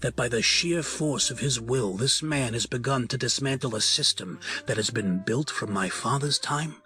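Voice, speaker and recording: A man playing a proud, dignified crime family patriarch in an audio drama, speaking formally and with measured gravity. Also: medium pitch, sharp tone, soft background music, natural voice. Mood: grave, accusing.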